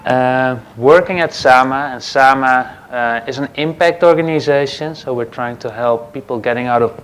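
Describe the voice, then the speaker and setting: A man from the Netherlands with a Dutch accent, speaking as a guest on a conference panel in an easygoing, slightly halting way. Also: medium pitch, a bit bright. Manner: easygoing, a bit halting, restarts phrases. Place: conference stage.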